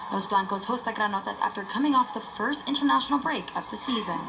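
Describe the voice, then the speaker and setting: Female sports narrator over clear noise. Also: medium pitch, quick pace. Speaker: sports news reporter. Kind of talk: scripted match preview narration. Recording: clear background noise behind voice.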